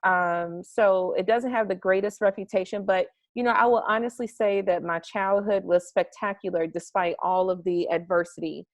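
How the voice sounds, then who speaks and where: A woman's voice: medium pitch, fairly even intonation, normal speed, hardly any pauses, dry recording. Upbeat young woman with a dry, close sound. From United States. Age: young adult. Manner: upbeat, eager, talks at length with fillers. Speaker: interview guest, marketing strategist. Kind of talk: casual interview over a call. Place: home garage.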